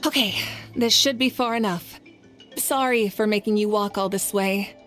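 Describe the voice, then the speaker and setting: A woman voicing a courteous young leader and fire deity in a video game, speaking formally and sincerely in measured phrases. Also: mid-range voice, a bit bright, faint music behind, natural voice. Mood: apologetic, earnest.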